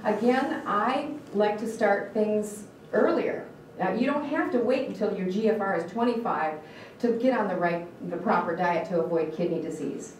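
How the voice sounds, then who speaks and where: A woman's voice: medium pitch, expressive, moderate pace, a little hiss or hum. Woman, Michigan accent, dietitian teaching a class; earnest, questions the room, restarts her words; distant mic in a very echoey room. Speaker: dietitian giving a talk. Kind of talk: live educational talk to an audience.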